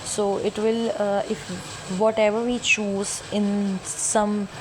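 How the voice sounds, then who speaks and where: A woman whose first language is Urdu reads an interactive story aloud in English, halting and often correcting herself. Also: mid-range voice, level tone, noisy background. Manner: halting, self-correcting reading in non-native English. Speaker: YouTuber reading an interactive story.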